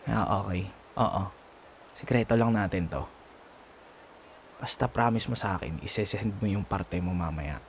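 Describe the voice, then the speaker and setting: A man's voice: low-pitched, a little slow, long pauses, a little hiss or hum. A young man with a Filipino accent acting a cocky, grudging student rival in an ASMR roleplay, teasing and sarcastic in casual banter. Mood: grudging, bickering. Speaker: voice actor.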